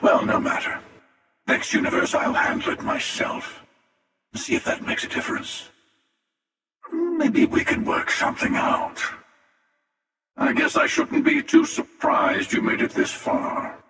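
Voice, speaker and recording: An actor playing a cynical, confident older space villain in a video game, wry and taunting, with a gravelly voice. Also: male voice, very high-pitched, steady tone, unhurried, long pauses, fairly bright tone, full character voice. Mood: musing, wry. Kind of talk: video game character voice lines. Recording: very echoey hall reverb, distant.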